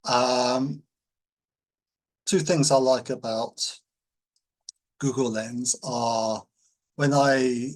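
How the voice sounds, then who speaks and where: Husky man giving a halting tutorial. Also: medium pitch, rough recording. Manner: halting, hesitant explanation. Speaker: language-learning teacher. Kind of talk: informal tutorial.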